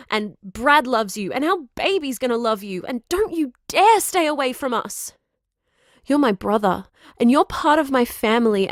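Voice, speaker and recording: Fierce protective sister, highish voice. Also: female voice, no echo, clean studio sound. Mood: angry, urgent. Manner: emphatic, insistent. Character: fierce, protective pregnant sister, angry and pleading. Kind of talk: naturalistic audio drama acting.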